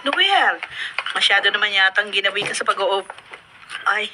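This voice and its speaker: An actress with a Filipino accent playing a wary but obliging wife in a radio drama. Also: female voice, mid-range voice, lively intonation, moderate pace, bright, forceful tone, slight background noise, poor audio. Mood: surprised.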